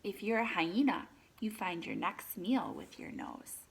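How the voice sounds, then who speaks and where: A woman reads a children's picture book aloud expressively, pausing for chatty asides and questions to her young listeners. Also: medium pitch, big pitch swings, vocal fry, slight background noise.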